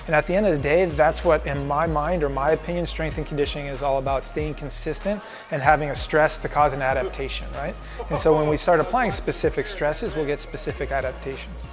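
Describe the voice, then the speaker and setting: A man's voice: fairly high pitch, steady tone, a little fast, music in the background. A man who works as a strength and conditioning coach, explaining his approach in an upbeat interview. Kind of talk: documentary interview.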